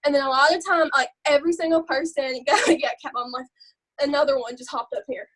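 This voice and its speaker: A woman with a Southern Alabama accent, chatting loudly and rambling casually as she shares tips on a live stream. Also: high-pitched, fairly even intonation, a little fast, rough recording. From Alabama, USA. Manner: loud, chatty, rambling, laughs often. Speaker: social media seller sharing branding tips.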